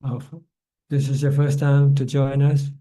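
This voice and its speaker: A man's voice: mid-range voice, little pitch movement, a little slow, dark tone. A man answering questions as a Buddhist teacher on a video call, speaking plainly and often repeating words as he finds his phrasing. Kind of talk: online dharma Q&A.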